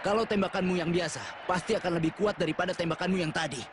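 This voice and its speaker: A man plays a composed male rival in a football cartoon, talking quickly in a slightly high voice. He is frank and gracious, with noisy ambience behind him. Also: steady tone, close to an everyday voice. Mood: blunt. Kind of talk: dubbed anime drama.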